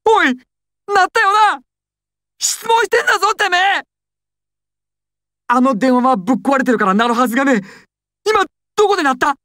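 A woman's voice: sits high, very animated, normal speed, pauses often, a bit bright, dry recording, studio quality. A woman voicing a nervous, stammering young male gangster in anime style, servile yet volatile. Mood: furious, frantic. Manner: stammering, swings from timid to explosive. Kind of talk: anime and game dramatic acting.

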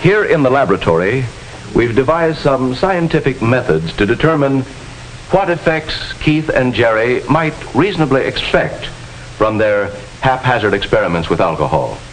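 A man's voice: medium pitch, big pitch swings, normal speed, poor audio. An American man giving formal, instructive narration with precise diction in the style of a 1950s educational film. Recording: hissy 1950s film soundtrack.

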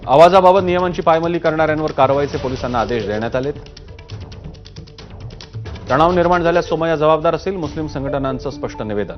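A man's voice: fairly high pitch, expressive, fast. A man working as a news anchor, reading scripted news narration. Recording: muffled broadcast audio with music bed. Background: news music.

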